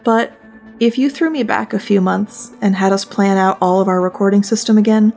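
A woman talks through her podcast in a casual, partly scripted style. Her mid-pitched voice has plenty of vocal fry, and she drops in wry asides. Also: fairly even intonation, moderate pace, darkish tone, faint music behind. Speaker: podcast host, voice director.

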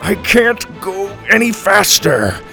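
Man voicing a gruff, urgent old grandpa. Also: sits high, very animated, moderate pace, over music, pitched above the performer's own voice, full character voice. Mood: strained, apologetic. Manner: grunting, huffing, urgent.

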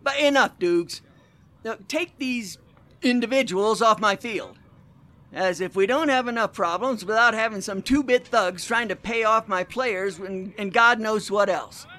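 Man playing a high-voiced pompous 1920s talker. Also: unhurried, sharp tone. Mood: indignant. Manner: pompous, flowery wording. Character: verbose, grandiloquent 1920s sports-world fast talker. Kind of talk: 1920s period radio drama acting.

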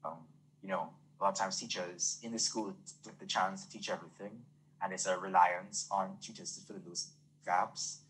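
Deep-voiced Trinidadian man, earnest. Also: steady tone, moderate pace, fairly bright tone, slight background noise, rough recording. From Trinidad and Tobago. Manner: earnest, fluent, uses many fillers. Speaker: guest. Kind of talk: casual interview talk.

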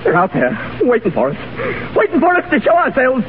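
An actor in 1930s radio drama playing a desperate besieged soldier with a frantic, whining manner. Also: male voice, very high voice, huge pitch swings, fairly quick, exaggerated voice. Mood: panicked, desperate. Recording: tinny, lightly noisy 1930s radio broadcast.

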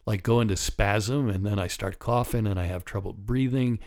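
A man in his sixties with a raspy voice from a partly paralyzed vocal cord, talking candidly and wryly as host of a solo podcast. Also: lowish voice, moderate pace, a little mellow. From United States. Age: 60s. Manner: candid, wry and conversational, with chuckles. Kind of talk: reflective solo podcast monologue.

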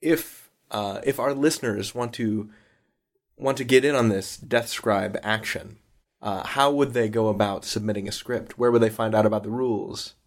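A man's voice: lowish voice, moderate pace. A man asking questions casually as the host of an interview show.

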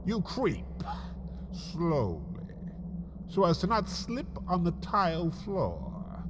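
A man narrating a scripted comic story in the second person, theatrical and melodramatic, with dramatic pauses. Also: high-pitched, wildly swooping pitch, background noise. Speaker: writer and narrator of a comedy story podcast.

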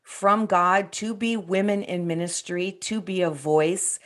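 A woman in her forties hosts a faith podcast as a pastor, speaking earnestly and steering with questions. Her voice sits a little low for a woman. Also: measured pace, fairly bright tone. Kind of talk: podcast interview hosting.

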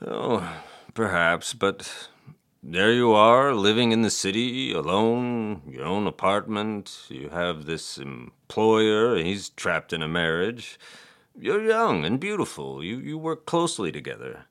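An actor playing a doting older father in an audio drama, earnest and persuasive, with halting, stammering delivery. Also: on the low side, big pitch swings, fairly bright tone, full character voice. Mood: pleading.

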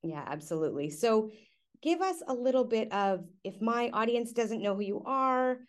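A woman's voice: a little high, lively intonation, normal speed. A woman in her thirties, from Canada, hosting a podcast in an upbeat, enthusiastic way. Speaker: podcast host. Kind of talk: podcast hosting and interview chat. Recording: light music behind.

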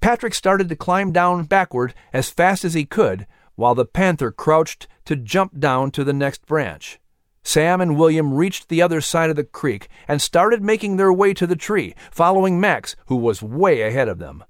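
A man reading a children's adventure story as narrator, expressive and suspenseful. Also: a little high, normal speed, dry recording, clean studio sound. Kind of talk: dramatic scripted story narration.

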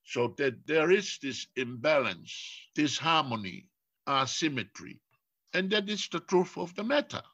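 Slow, deliberate older Tongan man. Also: fairly high pitch, expressive, sharp tone, highs cut off. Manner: deliberate, repeats phrases for emphasis, lists words. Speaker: philosopher guest. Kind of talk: reflective lecture-like talk.

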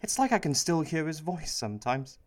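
An actor playing an anxious, guilt-ridden Prime Minister in a satirical radio play, whiny and flustered. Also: male voice, a little high, sing-song, a little fast.

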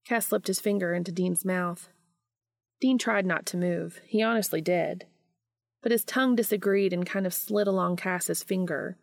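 Female fiction narrator, lowish register with noticeable vocal fry, dry intimate sound. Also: moderate pace, no room sound. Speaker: audiobook reader of fan fiction. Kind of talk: scripted story narration.

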